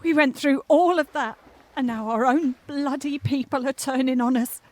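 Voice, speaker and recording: A woman's voice: sits high, steady tone, moderate pace, close to an everyday voice. A woman playing a bawdy, world-weary, foul-mouthed singer with a volatile temper in an audio drama. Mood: weary, despairing. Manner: blunt, swearing, dramatic.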